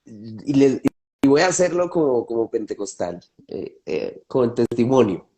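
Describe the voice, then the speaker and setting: A man's voice: mid-range voice, sing-song, a little slow, warm tone. A man from Colombia with a Colombian accent, a pastor speaking thoughtfully in a panel discussion and sharing his testimony.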